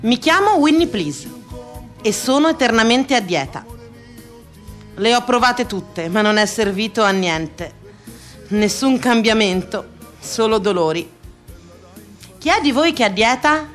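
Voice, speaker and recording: An actress playing a wry, self-mocking woman eternally on a diet, declaiming in a comic theatrical radio monologue with dramatic pauses. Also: a little high, animated. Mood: wry, exasperated. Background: music.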